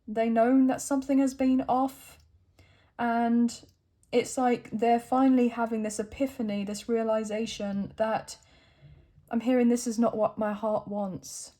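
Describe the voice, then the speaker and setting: A woman with a croaky throat giving a chatty tarot reading to camera, thinking aloud as she draws cards. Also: fairly high pitch, dark tone. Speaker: tarot reader.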